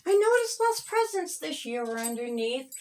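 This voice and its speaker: A woman reading her own rhyming children's story aloud in a deliberate, singsong storybook manner. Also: sits high, sing-song, a little mellow, a little hiss or hum.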